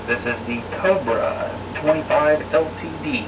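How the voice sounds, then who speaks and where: A man's voice: on the high side, measured pace, recorded from a distance, noisy background. An American man speaking as a radio operator making test transmissions, heard through a CB radio.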